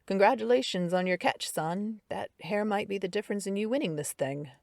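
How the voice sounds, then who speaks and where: A woman voicing a calm older male doctor who speaks low and soothingly to keep a tense man at ease. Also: fairly quick, gravelly, no room sound, studio quality.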